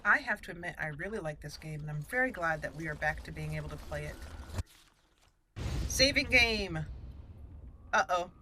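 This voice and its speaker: A woman's voice: deep voice, normal speed, pauses often, a little hiss or hum, low-quality recording. Chatty woman narrating her gameplay. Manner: chatty, excitable reactions, talks to party members. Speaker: let's play host. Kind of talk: casual gameplay commentary.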